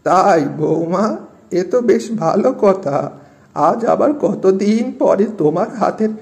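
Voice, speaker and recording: A man playing a mild, food-loving elderly father-in-law in a village cartoon, with a creaky voice and coaxing manner. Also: sits high, a little slow, a little mellow. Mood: pleased, eager. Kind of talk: Bengali moral-story cartoon dubbing.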